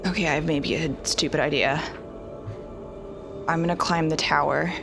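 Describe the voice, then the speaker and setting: A woman's voice: low-pitched, fairly even intonation, normal speed, gravelly. A woman talking casually as a tabletop roleplay player, thinking aloud as she describes what her character does. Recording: prominent music bed. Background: background music score.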